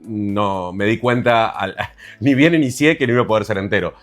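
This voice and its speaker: A man's voice: fairly low pitch, moderate pace, faint music behind. A man in his forties from Argentina, telling his story as an interview guest with many fillers and restarts. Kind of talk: conversational interview.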